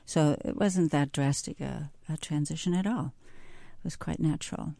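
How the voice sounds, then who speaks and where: A woman's voice: low voice, normal speed, vocal fry, warm tone, no room sound. A woman, a visual artist, answering interview questions thoughtfully, hesitating and searching for words. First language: German.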